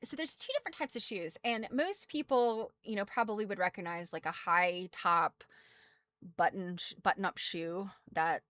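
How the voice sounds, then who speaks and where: A woman's voice: medium pitch, normal speed, fairly bright tone, no room sound, clean studio sound. An American woman presenting quilt history casually as a host, chatty and self-deprecating, wandering off into asides.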